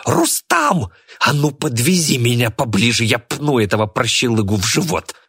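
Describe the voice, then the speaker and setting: A man voicing a cantankerous, sickly old man in an audiobook, spiteful and indignant, with a raspy, screechy voice. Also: sing-song, moderate pace, a bit bright, heavily acted. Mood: shrieking anger. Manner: shrill indignant outbursts.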